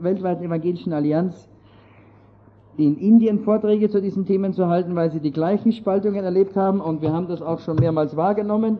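Austrian guy giving a rambling church talk, fairly high voice, muffled old recording. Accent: Austrian German, partly Germanized. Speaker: speaker on church history. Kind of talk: lecture-style talk to a church audience. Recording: dull lo-fi audio.

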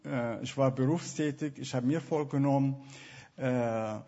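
A man's voice: medium pitch, moderate pace, dark tone, highs cut off. A man from Syria with a Syrian Arabic accent, speaking German as a second language, telling his personal testimony hesitantly with many fillers. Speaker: guest.

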